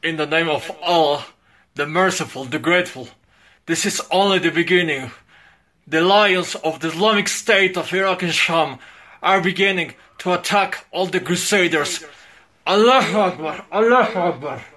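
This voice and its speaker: Man declaiming a recorded statement, high and bright, dramatic and overcompensated. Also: normal speed.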